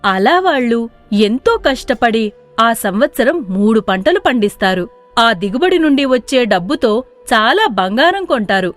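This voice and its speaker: A woman's voice: a little high, sing-song, a little slow, a bit bright, soft background music. A woman narrating a scripted moral tale in storybook style.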